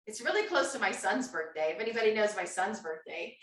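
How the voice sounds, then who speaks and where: Woman host, bright and forceful, chatty audience quizzer, set back from the mic in an echoey room. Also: mid-range voice, sing-song, a little fast, far from the mic. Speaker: host of an online cooking show. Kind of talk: upbeat live-stream hosting.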